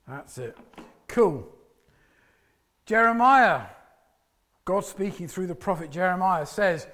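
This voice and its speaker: A man preaching a sermon with a loud, booming voice, pacing about and sometimes dropping to a soft prayerful tone. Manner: drops to soft hushed prayer voice.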